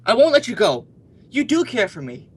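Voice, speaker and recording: A man's voice: extremely high pitch, huge pitch swings, moderate pace, husky, heavily acted. A man playing an ardent, lovestruck young suitor in an old-time radio melodrama, earnest and declamatory. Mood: desperate, ardent.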